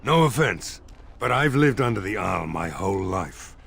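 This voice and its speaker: A man's voice: on the low side, big pitch swings, moderate pace, vocal fry, fairly bright tone, breathy edge, full character voice. An actor playing a weary village militiaman who speaks bluntly and plainly.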